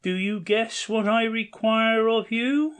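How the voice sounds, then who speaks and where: A man's voice: high voice, slow pace, clean tone. A man playing a commanding king of the gods, declaiming in a stately, formal way in an amateur audio play.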